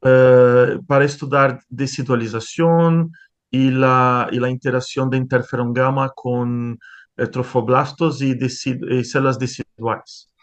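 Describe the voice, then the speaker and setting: A man's voice: medium pitch, flat delivery, measured pace. A man from Brazil with a Brazilian Portuguese accent, speaking Spanish as a second language in an interview, hesitant, with many restarts and fillers. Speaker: interviewed scientist. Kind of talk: conversational interview.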